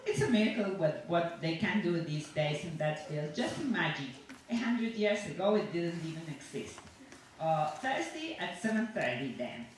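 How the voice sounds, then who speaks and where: A woman's voice: lowish voice, very animated, moderate pace. A woman reading a story aloud as a chatty, self-satisfied, sinister dentist who rambles on with cheerful reassurance to a patient. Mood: breezy, macabre. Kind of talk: dramatic monologue read aloud to an audience. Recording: echoey room from far off, faint noise.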